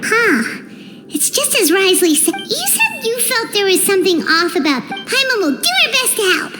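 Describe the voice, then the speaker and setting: A tiny fairy sidekick from an anime video game, chatty and blunt. The voice is childlike, squeaky and extremely high, bouncing up and down in an exclamatory way over loud music. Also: female voice, very animated, moderate pace. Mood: puzzled. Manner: refers to self in third person, exclamatory.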